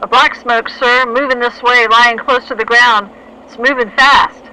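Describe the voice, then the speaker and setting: Lady doing an anxious army observer in a radio play, loud n urgent, lots of noise behind. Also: a little high, steady tone, unhurried. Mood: alarmed. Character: anxious army battlefield lookout reporting to commander. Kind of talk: radio drama acting. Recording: noisy radio play battle ambience.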